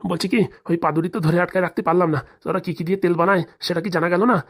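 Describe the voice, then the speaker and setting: Comic cartoon older husband, played by a woman: lowish pitch, racing pace, meek, servile, timid grumbler. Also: steady tone, very fast. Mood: puzzled, anxious. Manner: placating, timid, muttering complaints.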